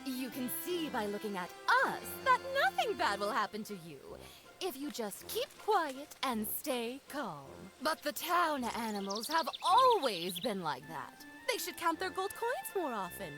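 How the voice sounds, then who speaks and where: Magpie queen for a cartoon game: haughty, flirty, money-loving, high-pitched and extravagantly melodic. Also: measured pace, music in the background. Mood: grand, self-satisfied. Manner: theatrical, haughty, drawling flattery. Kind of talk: cartoon adventure game voice acting.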